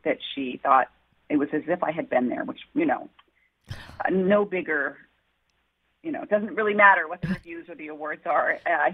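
A woman's voice: low voice, husky. A woman author answering interview questions, telling her story in an earnest, heartfelt way. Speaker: author guest. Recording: tinny phone-line audio.

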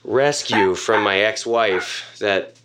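A man's voice: fairly low pitch, slow pace, fairly bright tone. An American man playing a needy, awkward startup co-founder, rambling and apologetic, in a comedy podcast. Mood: apologetic, self-pitying. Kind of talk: naturalistic comedy podcast acting.

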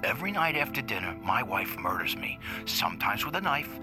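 An actor playing a haunted, fearful male caller in audio fiction, hushed and confessional. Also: mid-range voice, sing-song, brisk, over music, lightly acted. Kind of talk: audio drama acting.

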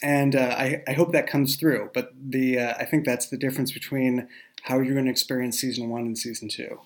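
A man who writes and creates a show, chatting casually and explaining in a halting, self-correcting way. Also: mid-range voice, fairly even intonation. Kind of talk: casual behind-the-scenes chat.

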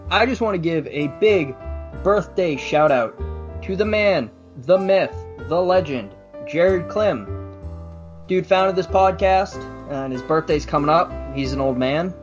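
Chatty man, wry, slightly high voice. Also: expressive, unhurried, dull audio, faint music behind. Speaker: podcast co-host. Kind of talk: casual sports podcast chat.

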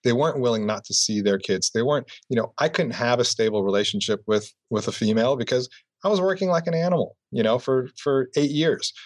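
A man being interviewed about his business career, talking reflectively and filling pauses with "you know.". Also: mid-range voice, animated, dry recording. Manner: reflective, repeats "you know" often, restarts phrases. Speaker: entrepreneur guest. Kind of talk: casual interview answers.

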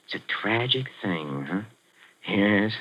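An actor playing a soft-spoken, scheming maestro plotting a murder, in 1940s radio acting. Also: male voice, on the low side, expressive. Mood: menacing. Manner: soft, insinuating, slowly building to gloating menace. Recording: muffled 1940s radio recording with music.

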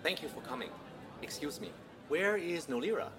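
Father, fifties, played by a man: proud, courteous, wry, very animated intonation over clear music. Natural audio drama. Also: on the high side, moderate pace, natural voice. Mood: affectionate. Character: genial, proud father in his fifties, scientist.

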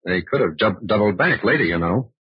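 A businesslike fed investigator, talks quick, rough husky voice, old radio play, dull sound. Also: male voice, on the low side, lively intonation. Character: brisk, methodical male federal agent. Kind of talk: old-time radio drama acting. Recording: dull, band-limited old radio recording.

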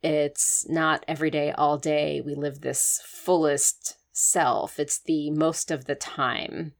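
A woman's voice: sits low, level tone, slow pace, creaky. A woman hosting an interview, candid and chatty, with frequent fillers as she asks questions. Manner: warm, candid, many fillers like "like". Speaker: interviewer, host. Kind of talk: conversational interview.

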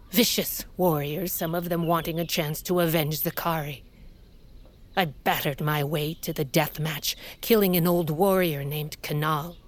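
An actress playing a proud, hardened older queen and mother of a warrior tribe, speaking gravely and with command in an audio drama. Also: deep voice. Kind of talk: audio fiction drama acting.

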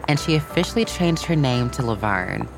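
Very low-voiced woman narrating over music. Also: expressive, normal speed, rough recording. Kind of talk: scripted story narration for children.

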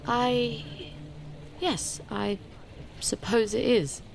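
A woman's voice: fairly high pitch, extremely slow, creaky, slight background noise, exaggerated voice, rough recording. A woman playing an eager, uneasy young British estate agent in an audio drama, speaking in an earnest, polite way. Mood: puzzled, uneasy. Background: music.

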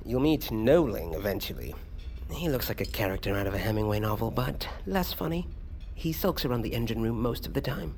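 An actor playing a wisecracking, sardonic ship's engineer, chatting casually in a naturalistic audio drama. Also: male voice, lowish voice, lively intonation, a little fast, darkish tone, slight background noise. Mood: wry.

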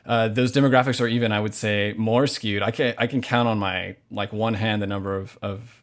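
A man with an American accent speaks in a fairly low voice as an interview guest. His delivery is earnest and thoughtful, and he often searches for the right wording in a conversational way. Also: steady tone, fairly quick. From Hawaii, United States. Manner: earnest, reflective, searching for words. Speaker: podcast guest, novelist.

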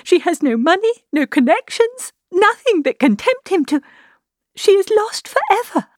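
A woman voicing an agitated, breathless young gentlewoman in anguish, in a storybook reading. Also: fairly high pitch, normal speed, dry recording, studio quality, pitched above the performer's own voice, close to an everyday voice. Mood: distressed. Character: distraught young gentlewoman, anguished and self-reproaching.

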